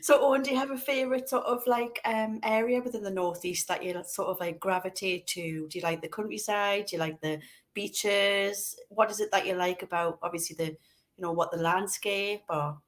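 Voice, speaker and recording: A woman's voice: mid-range voice, normal speed. A woman co-hosts a podcast in an easy, chatty way. Her questions wander a bit and are padded with fillers. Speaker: podcast co-host. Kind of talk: casual interview chat.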